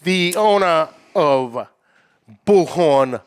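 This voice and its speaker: A man with a somewhat high voice hosts a casual live show. He chats loosely and presents with an announcer's hype, keeping the banter informal. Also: expressive, very slow, clean tone. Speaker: show host. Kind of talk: casual livestream banter and guest introduction.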